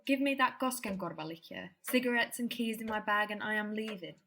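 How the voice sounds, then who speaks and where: A woman's voice: medium pitch, a little fast, pushed and bright, slight background noise. A woman playing an anguished, suffocated young woman in a poetic, dramatic radio drama monologue. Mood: pleading, agitated. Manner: poetic, dramatic, repetitive phrasing.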